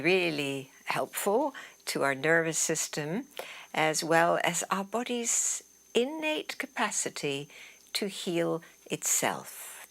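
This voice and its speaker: Slow, creaky-voiced woman guiding relaxation. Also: on the low side. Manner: soothing, unhurried, trailing into breathy softness. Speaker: yoga nidra teacher. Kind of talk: guided relaxation meditation instruction.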